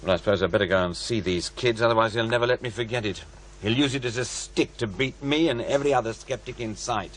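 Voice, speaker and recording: An actor playing a dry, skeptical, busy paranormal researcher in naturalistic radio drama dialogue. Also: male voice, medium pitch, fast talker, slight background noise, natural voice. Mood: weary disdain. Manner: dry, questioning, unimpressed.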